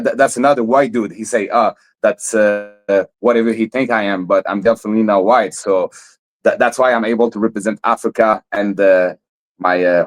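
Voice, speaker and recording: A young man from Montreal, a fighter guest in a casual interview, who stammers and repeats words often. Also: on the low side. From Montreal, Canada.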